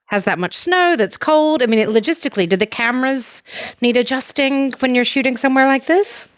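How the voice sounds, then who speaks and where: A woman's voice: high-pitched, brisk, dry recording, clean studio sound. A woman interviewing a film director, asking curious, conversational questions.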